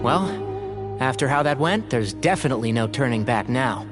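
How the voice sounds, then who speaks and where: An actor playing a calm, gently serious physician and scholar in a video game, speaking thoughtfully and politely. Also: male voice, mid-range voice, moderate pace, over loud music, natural voice.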